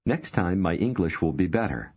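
A man narrating a language course, giving clear, measured cues to repeat. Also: low-pitched, expressive, darkish tone. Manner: slow, clear prompting cues. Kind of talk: scripted instruction. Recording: dull lo-fi studio recording.

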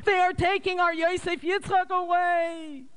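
A frantic old mother wails and begs in a high, quavering voice, as a male storyteller puts her on. Her pleas swing up and down, full of fright and grief. The tone stays clear and smooth. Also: very animated, measured pace, a bit bright, exaggerated voice. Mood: wailing. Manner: wailing high cries. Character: elderly mother, frantic, pleading, grief-stricken.

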